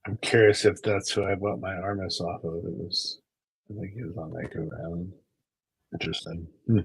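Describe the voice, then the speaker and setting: Man, husky voice, lowish pitch, rambling storyteller, dry video-call audio. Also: fairly even intonation, a little fast, no room sound, poor audio. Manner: rambling, storytelling, repeats words. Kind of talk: casual chat.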